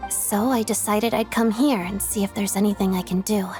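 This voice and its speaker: A poised young female officer from a video game, played by a woman. She is principled and efficient, speaking politely with a composed, businesslike manner at a middle pitch, over soft music. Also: steady tone, normal speed, lightly acted. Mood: earnest, troubled. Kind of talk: English game dub dialogue.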